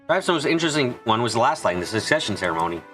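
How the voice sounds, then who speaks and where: Male fan-dub narrator for a visual novel: brisk pace, forceful bright tone, expressive read. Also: mid-range voice, soft background music, low-quality recording. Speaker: fan dubber reading a visual novel aloud. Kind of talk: scripted narration with character dialogue, fan dub.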